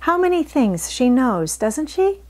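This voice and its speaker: A woman's voice: high-pitched, sing-song, unhurried, voice pushed up, exaggerated voice. A woman voicing an eager, earnest little girl in a storybook reading. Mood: curious.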